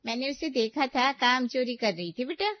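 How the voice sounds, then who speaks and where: A woman's voice: high-pitched, animated, normal speed, no room sound, highs cut off, pitched above the performer's own voice. A woman voicing a nosy middle-aged neighborhood aunty in a cartoon story, gossipy, teasing and prying.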